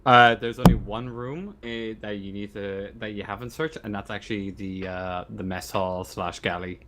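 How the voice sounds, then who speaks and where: A man running a tabletop roleplaying game as game master, describing scenes and rules in a halting way, stumbling over words. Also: on the low side, steady tone, fairly bright tone, slight background noise. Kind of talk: improvised tabletop game narration and rules talk.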